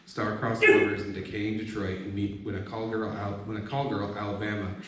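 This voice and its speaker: A man's voice: on the low side, level tone, a little fast, fairly bright tone. A man in his thirties reading his own essay aloud to a gathering, with informal spoken asides. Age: 30s. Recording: very echoey room, distant mic, faint noise.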